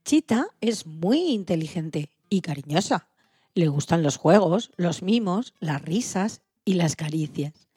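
A woman's voice: fairly low pitch, expressive, unhurried, warm tone, breathy edge, no echo, studio quality. A woman narrating a scripted children's story. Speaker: co-host of an animal-stories programme for all ages.

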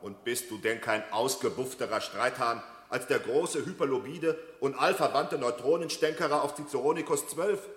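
A man's voice: mid-range voice, normal speed, close to an everyday voice. Radio play casting: man for challenging, stern alien technician, declamatory, in long reverb. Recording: echoey reverberant hall.

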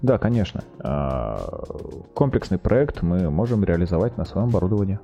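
A man explaining products as a guest in a podcast interview, speaking haltingly with drawn-out hesitations. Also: low-pitched, sing-song, dark tone, dull audio, faint music behind. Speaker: guest, telecom equipment maker's project manager.

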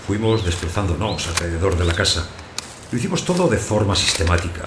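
An actor playing a lonely abandoned householder, narrating his story in a measured, plain way for an audio drama. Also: low-pitched, sing-song. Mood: subdued, reflective. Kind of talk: first-person literary narration in audio fiction. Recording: roomy echo, clear background noise.

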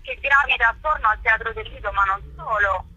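A woman's voice: on the high side, fairly quick, pushed and bright, slightly rough, no room sound. A woman being interviewed over a phone line, explaining a festival programme in a chatty, promotional way. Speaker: interviewed festival curator and performer. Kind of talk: live radio phone interview. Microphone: telephone. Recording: tinny roomless phone line.

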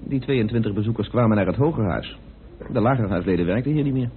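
An actor playing a composed, well-informed British parliamentarian turned sleuth in a Dutch radio play, explaining things in a measured, deliberate way. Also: male voice, on the low side, background noise, natural voice. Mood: explaining, assured. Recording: narrow, phone-like old radio recording.

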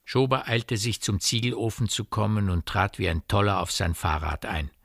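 An actor as a measured narrator, delivering scripted literary narration in a radio drama. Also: male voice, fairly low pitch, steady tone, gravelly, fairly bright tone, no room sound, clean studio sound.

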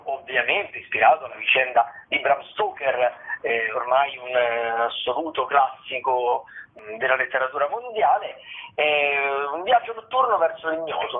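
Male critic phoning in to radio, slightly high voice, discursive and hesitant, tinny line. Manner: hesitant, discursive, with filled pauses. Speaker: theatre critic, radio guest presenting listings. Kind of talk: conversational radio listings commentary.

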